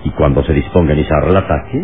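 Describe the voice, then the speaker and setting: An actor playing a noble, commanding hero in a solemn, declamatory radio drama style. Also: male voice, rumbling low, sing-song, fast talker. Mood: resolute, confident. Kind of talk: Mexican radionovela acting. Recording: tinny old radio recording with hiss.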